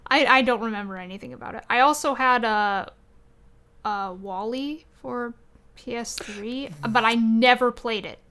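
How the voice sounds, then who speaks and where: Young woman, podcast host, bright crisp tone, fairly high pitch, casual game chat, hesitant, giggly. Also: measured pace.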